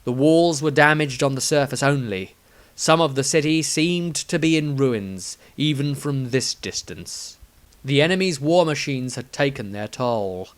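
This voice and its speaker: A man reading a fantasy story as a dramatic audiobook narrator, with theatrical, deliberate emphasis. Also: mid-range voice, lively intonation.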